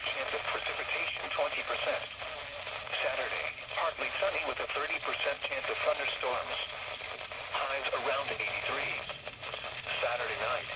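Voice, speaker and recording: A text-to-speech male announcer reads out forecasts in a clipped, mechanical cadence at a medium pitch. He comes through a weak, distant radio signal buried under heavy hiss and static. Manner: synthetic, evenly clipped reading of forecasts and station lists. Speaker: automated weather announcer. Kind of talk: automated weather broadcast reading. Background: loud radio static.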